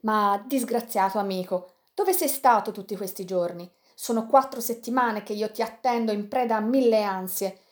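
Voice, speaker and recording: A woman reading an audiobook, voicing a loyal, excitable male pirate friend who speaks in a raised, pleading tone. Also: moderate pace, hardly any pauses, close to an everyday voice. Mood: anxious. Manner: excited, pleading, raised and higher than the narration.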